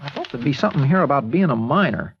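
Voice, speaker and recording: An actor playing an earnest small-town newspaper editor, pleading and indignant, in 1940s radio acting. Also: male voice, mid-range voice, lively intonation, fast, gravelly, a little hiss or hum. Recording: muffled old radio recording.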